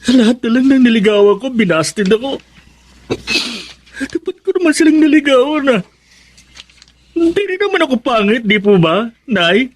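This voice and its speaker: A self-pitying young man in a radio drama. His voice sits high and swings widely. He sounds wounded and lovelorn, forever questioning his lot in plaintive tones. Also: sing-song, moderate pace, long pauses, full character voice. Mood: wounded, pleading. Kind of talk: Tagalog radio drama acting.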